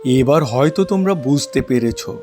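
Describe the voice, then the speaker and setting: A calm, fair-minded king in a storybook cartoon, voiced by a man. He speaks at a middling pitch, with music playing behind him. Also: normal speed, close to an everyday voice. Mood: composed, assured.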